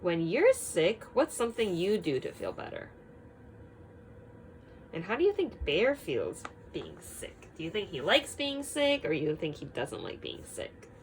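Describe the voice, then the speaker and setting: A woman teaching young children through an expressive read-aloud, prompting them with frequent simple questions. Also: on the low side, measured pace, a little hiss or hum, low-quality recording.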